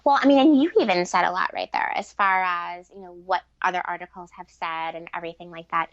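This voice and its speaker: Gravelly-edged woman explaining earnestly, muffled audio. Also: fairly low pitch, fast talker, fairly bright tone, dry recording. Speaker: podcast guest, author and relationship expert. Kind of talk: conversational spiritual interview talk.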